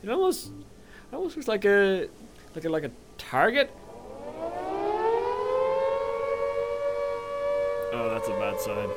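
An actor playing a blithely reckless, scheming Irish ship's captain in a comedy audio drama. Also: male voice, high voice, wildly swooping pitch, moderate pace, background noise, exaggerated voice. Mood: bemused.

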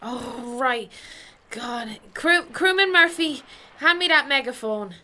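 An actress playing an anxious, principled naval lieutenant in a comedy audio drama. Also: female voice, sits high, lively intonation, slow pace, bright, forceful tone, full character voice. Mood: exasperated.

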